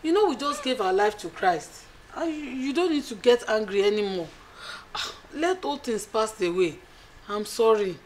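A pleading, apologetic wife. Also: female voice, a little high, expressive, slow pace, long pauses, a little hiss or hum. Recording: loud background music.

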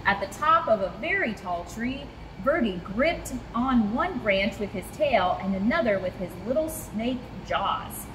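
A young woman reading a children's picture book aloud as a storyteller, expressive and clearly paced. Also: on the high side, measured pace, few pauses, in a large hall. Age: young adult. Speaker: zoo staff storyteller. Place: in front of a rock wall at a zoo. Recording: clear ambient background noise.

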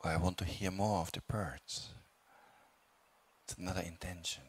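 Low-voiced man guiding a meditation. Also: husky. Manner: calm, unhurried, with long pauses between short phrases. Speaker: meditation teacher, Buddhist monk. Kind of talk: guided meditation instruction.

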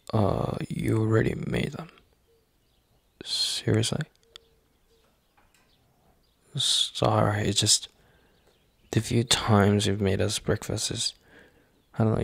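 A low, very gravelly young boyfriend, acted by a man in an ASMR roleplay. He talks slowly and haltingly, sounding tender, anxious and unsure. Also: no echo, heavily acted. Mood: surprised. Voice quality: husky, gravelly. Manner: halting, hesitant, soft-spoken, sighing.

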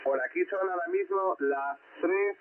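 A man's voice: high-pitched, a little slow. A man from the Canary Islands with a Canarian Spanish accent chats casually as a ham radio operator, repeating words for emphasis. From Canary Islands, Spain. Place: heard over a ham radio receiver. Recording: tinny shortwave radio with loud static.

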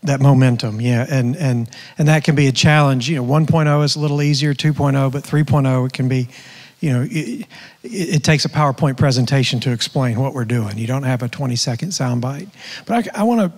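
A man's voice: medium pitch, fairly quick, a little mellow. A middle-aged man, a mission pastor, speaking earnestly on a stage panel with many restarts. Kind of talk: panel discussion. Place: church stage. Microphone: handheld mic. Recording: long hall reverb.

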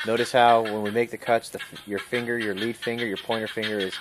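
Low-voiced man teaching outdoors. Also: quick pace, background noise. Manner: calm, instructive, talks through each step. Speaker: survival skills instructor. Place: outdoors in a wooded clearing.

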